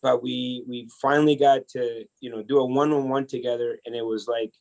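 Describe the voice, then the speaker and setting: A man from El Salvador, a podcast guest telling his life story in a casual, energetic way, often restarting his sentences. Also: mid-range voice, a little fast, creaky, low-quality recording. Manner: energetic, chatty, many restarts.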